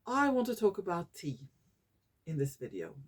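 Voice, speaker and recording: A Norwegian woman vlogging in English, her second language, often pausing to search for words and correcting herself. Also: fairly low pitch, wildly swooping pitch, slightly rough. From Norway. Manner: slow and searching for English words, many fillers and self-corrections. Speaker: YouTuber. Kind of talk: casual talking-head vlog.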